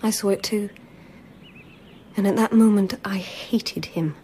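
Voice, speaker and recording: An actress playing a timid, lovestruck palace lady in a radio drama, hushed and hesitant, with a husky, breathy voice. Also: medium pitch, measured pace, a little hiss or hum, natural voice. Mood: sorrowful. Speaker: actor. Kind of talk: intimate radio drama acting.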